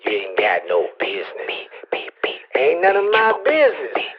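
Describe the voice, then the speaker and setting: A man's voice: medium pitch, wildly swooping pitch, normal speed, husky, highs cut off, soft background music. A man co-hosting a podcast, playfully chanting repeated freestyle rap hooks over beatboxing. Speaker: podcast co-host.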